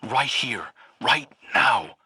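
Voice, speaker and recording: An actor playing an earnest, remorseful guardian seeking redemption in a game, speaking persuasively with a husky, intense voice. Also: male voice, medium pitch, animated, very slow, pushed and bright, a little hiss or hum, heavily acted. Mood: remorseful, pleading. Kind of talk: dramatic game dialogue.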